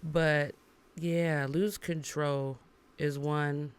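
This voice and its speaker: A woman singer, a guest on a podcast, talking in a relaxed, chatty way and laughing easily. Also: low-pitched, drawn-out words, clean tone, dry recording. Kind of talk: casual interview chat.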